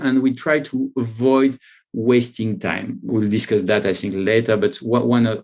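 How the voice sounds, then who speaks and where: A man with a French accent, a native French speaker, answering as an interview guest in halting, repetitive conversational English. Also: medium pitch, a little mellow. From France. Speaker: interview guest, startup CEO.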